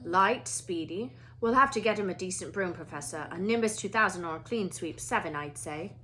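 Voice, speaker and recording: A woman's voice: on the low side, normal speed. An eager, burly teenage boy, read by a woman, captain of his team in a storybook. He talks keenly. A little background noise is present. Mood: appraising. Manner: excited, keen.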